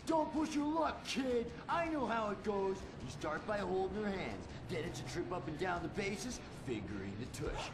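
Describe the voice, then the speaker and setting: A man's voice: big pitch swings, normal speed, few pauses. A man voicing a preachy young superhero boy in a satirical cartoon, lecturing like a hard-boiled tough guy. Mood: menacing. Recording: clearly noisy, rumble behind.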